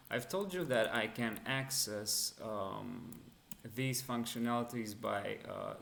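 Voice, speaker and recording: Romanian guy hosting a live tech stream, gravelly tone, hesitant, roomy echo. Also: medium pitch, fairly bright tone. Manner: hesitant, many fillers and restarts. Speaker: livestream host teaching cybersecurity. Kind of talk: live coding stream with chat Q&A. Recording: echoey room.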